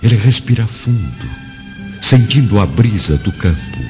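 A man's voice: lowish voice, animated, unhurried. A Brazilian man narrating a radio drama in a solemn, dramatic storytelling style. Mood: wondrous. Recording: dull lo-fi audio, loud music behind.

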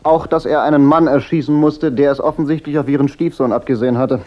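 An actor playing a cool, unflappable private detective with dry, wry retorts in a German radio crime drama. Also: male voice, on the high side, fairly even intonation, quick pace, lo-fi sound, lightly acted. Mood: firm, businesslike.

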